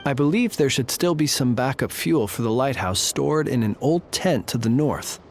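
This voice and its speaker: An actor playing a courteous, solemn lighthouse guard officer in a video game, speaking in a formal, measured, reassuring way. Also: male voice, medium pitch, expressive, a little hiss or hum, close to an everyday voice. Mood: composed.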